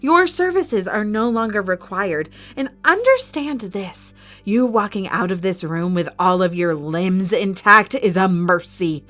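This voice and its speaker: A woman playing a cold, imperious inhuman villain in an audio drama, haughty and menacing, with measured threats. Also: mid-range voice, animated, a bit bright, faint music behind. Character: cold, imperious non-human woman villain running an arena.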